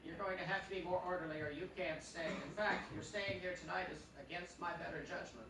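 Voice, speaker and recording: A man acts an unruffled, admonishing master of the house, sure of himself and a little fussy. It is radio drama heard from a distance, very echoey, with clear background noise. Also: high voice, steady tone, a little fast. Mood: bossy. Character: genial but bossy, unflappable man of the house. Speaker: stage radio-play actor. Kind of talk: live radio play acting. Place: theatre stage, live performance.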